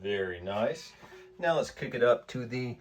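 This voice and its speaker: A man's voice: mid-range voice, animated, unhurried. A man giving a casual product review and demo as a guitar gear reviewer.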